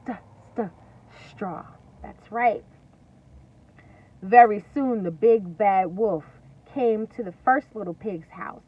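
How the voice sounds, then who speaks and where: A woman teacher reading a story aloud to young children, emphatic and singsong, pausing to ask questions. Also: mid-range voice. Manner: singsong, emphatic, asks questions and pauses for answers. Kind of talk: interactive storybook read-aloud. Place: at home. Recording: distant mic, lo-fi, faint noise.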